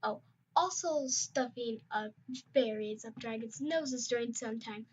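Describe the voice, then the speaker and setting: A woman's voice: on the high side, a little slow, slight background noise, natural voice. Barry, a brash young rainwing dragon, as a woman puts him on. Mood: gruff. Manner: loud, growling and pushy. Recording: dull lo-fi recording.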